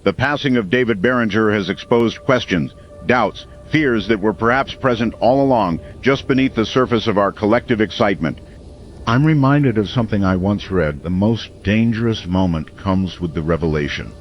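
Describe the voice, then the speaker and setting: A man playing a brooding, earnest small-town radio host in an audio drama, delivering a measured, portentous monologue. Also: lowish voice, expressive, dull audio, soft background music, close to an everyday voice. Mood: solemn. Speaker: late-night community radio host.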